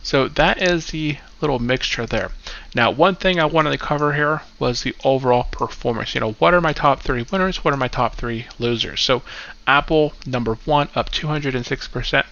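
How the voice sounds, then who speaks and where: A man's voice: medium pitch, gravelly, pushed and bright, dull audio. A man hosting a casual investing vlog, a little stuffy and congested as he walks through his portfolio in an upbeat way. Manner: upbeat, keeps saying "go ahead". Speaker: investing channel host. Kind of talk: casual finance vlog walkthrough.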